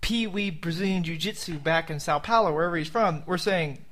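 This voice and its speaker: Male podcast co-host, high voice, playful and teasing, casual. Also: brisk, a bit bright. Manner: joking, teasing, laughs at own jokes. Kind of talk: casual sports chat.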